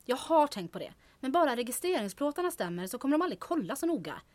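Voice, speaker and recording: Woman playing lively, bossy schemer, highish voice. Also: no echo. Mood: brisk, scheming. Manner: brisk, nonchalant, self-assured. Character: bold, breezy, bossy young woman schemer. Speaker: actor in audio drama serial. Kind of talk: comic radio-play acting.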